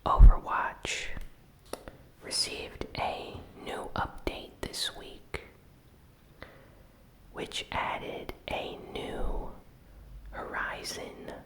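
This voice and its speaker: A host whispering a gaming news recap in a soft, unhurried ASMR style. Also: low-quality recording. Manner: slow, soft, unvoiced whispering.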